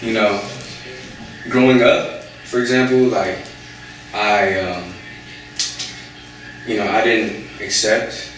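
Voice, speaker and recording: A man's voice: on the low side, steady tone, slow, pauses often, a bit bright, slight background noise. A young American man talking casually in an interview, hesitant, with many fillers and restarts. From United States. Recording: echoey room, voice from far off.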